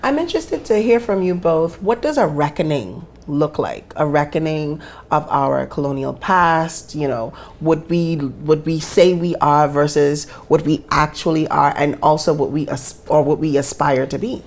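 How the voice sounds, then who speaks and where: Deep-voiced Bahamian woman host. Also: normal speed, hardly any pauses. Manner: engaged, uses local dialect. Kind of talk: discussion panel.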